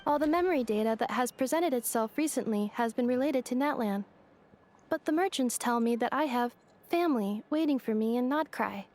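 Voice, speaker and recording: High-voiced, literal robot girl played by a woman. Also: fairly quick, a little mellow, lightly acted. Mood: earnest, matter-of-fact. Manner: stilted, literal, clipped machine-like phrasing. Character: earnest amnesiac female robot girl, literal and curious. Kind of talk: video game voice acting.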